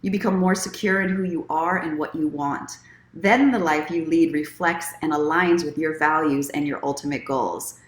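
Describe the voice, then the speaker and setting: Low-voiced woman in an echoey room. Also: normal speed. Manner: encouraging, conversational, self-help tone. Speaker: host of a daily online story time. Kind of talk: expressive reading aloud from own book, mixed with casual livestream chat.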